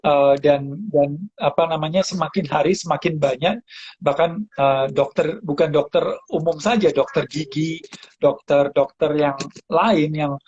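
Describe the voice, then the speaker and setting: A man's voice: on the high side, darkish tone, breathy edge, dull audio. A man hosting a casual interview, restarting phrases and mixing in some English words. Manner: halting, repeats phrase starts, mixes in English words. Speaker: host interviewing a guest about breathing practice.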